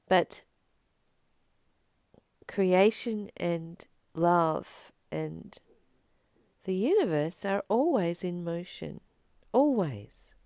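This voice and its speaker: Slow, expressive woman giving spiritual commentary. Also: medium pitch, smooth, dry recording, studio quality. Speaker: spiritual teacher reading aloud and commenting. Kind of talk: spiritual book reading with informal personal commentary.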